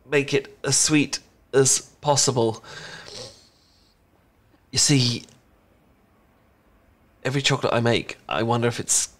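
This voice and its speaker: A man's voice: medium pitch, lightly acted. Bashful, stammering British chocolatier played by a man. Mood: flustered, shy. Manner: stammering, awkward, rambling, hesitant. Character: adorkable, bashful young male chocolatier confessing a crush. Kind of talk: intimate ASMR romance roleplay.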